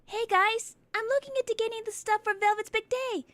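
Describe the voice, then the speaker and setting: A man's voice: extremely high pitch, steady tone, brisk, pushed and bright, dry recording, voice pushed up. A man voicing a hyper, cheerful teenage girl in a fan audio drama. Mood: excited.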